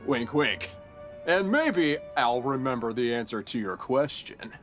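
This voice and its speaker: A man's voice: mid-range voice, expressive, measured pace, lightly acted. An actor voicing a cowardly, greedy, shifty townsman in a video game, jittery and theatrical as he wheedles. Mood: sly, bargaining. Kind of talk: video game character acting. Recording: game music behind the voice.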